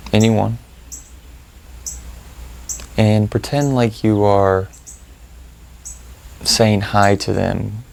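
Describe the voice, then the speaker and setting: A man leading a guided meditation, giving gentle, informal instructions with unhurried pauses. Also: fairly low pitch, gravelly.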